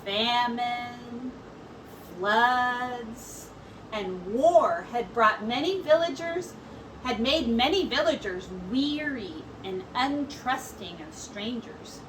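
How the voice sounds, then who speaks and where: A woman teacher reading a children's story aloud in an expressive, deliberate storytelling manner. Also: fairly high pitch. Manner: expressive, deliberate storytelling with brief asides to listeners. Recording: distant mic with faint background noise.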